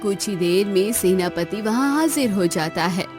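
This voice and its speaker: A female storyteller delivers scripted moral-tale narration. Her mid-pitched voice moves with lively intonation over faint music. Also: unhurried.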